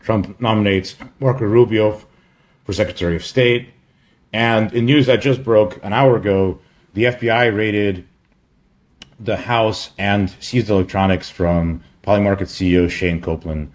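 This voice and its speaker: Low-voiced man giving a casual demo. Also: fairly even intonation. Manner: halting, rambling, offhand asides. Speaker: company chief presenting a product update. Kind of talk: casual screen-share product walkthrough.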